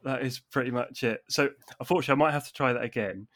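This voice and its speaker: A man's voice: medium pitch, racing, fairly bright tone. A man hosting a podcast, hesitant and self-deprecating, with many ums. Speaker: podcast host. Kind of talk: casual conversation.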